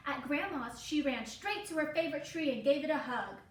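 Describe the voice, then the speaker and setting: A woman teacher reading a children's storybook aloud with expressive, dramatic, deliberate phrasing. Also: high voice. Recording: roomy, distant mic, faint noise.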